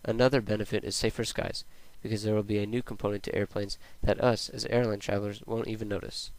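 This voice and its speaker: A young man narrates a scripted student presentation in a plain, read-aloud way. His voice is smooth and clean, with very little rise and fall. The sound is dry, with no room echo. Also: on the low side, little pitch movement, fast, a little mellow.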